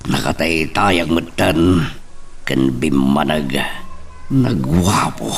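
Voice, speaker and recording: A man acting a brooding older character in a radio drama, musing slowly and deliberately. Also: extremely low pitch, faint music behind, exaggerated voice. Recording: distant, noisy, with ambience.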